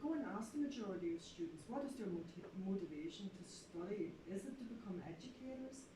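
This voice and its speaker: A woman's voice: medium pitch, animated, fast. A woman from East Germany, a community development worker, giving an earnest and thoughtful comment from the floor. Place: lecture room. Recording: echoey room, far mic, some hiss.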